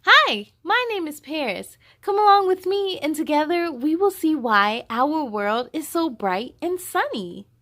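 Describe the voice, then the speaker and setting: A woman's voice: high voice, big pitch swings, measured pace, hardly any pauses, bright, forceful tone. A woman hosting a children's story time, reading aloud expressively with a sing-song delivery and chatty asides to young listeners.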